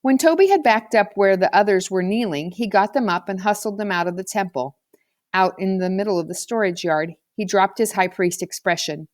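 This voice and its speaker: A woman's voice: medium pitch, a little fast, darkish tone, no echo, studio quality. A woman reading a children's novel aloud as a scripted narrator. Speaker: audiobook reader.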